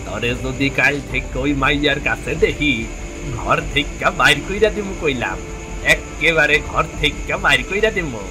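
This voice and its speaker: A man's voice: a little high, lively intonation, normal speed, music in the background, natural voice. A man playing a stern, bitter middle-aged fishmonger father in a cartoon, lecturing harshly in an eastern Bengali accent. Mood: angry, bitter. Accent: eastern Bengali (Bangladeshi). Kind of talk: dramatic cartoon voice acting. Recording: clearly noisy background ambience.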